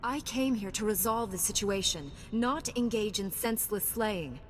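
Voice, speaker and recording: A woman voicing a composed, principled young Jedi knight in a video game, speaking in a measured, formal way. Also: medium pitch, expressive, a little hiss or hum, close to an everyday voice. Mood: firm, calm.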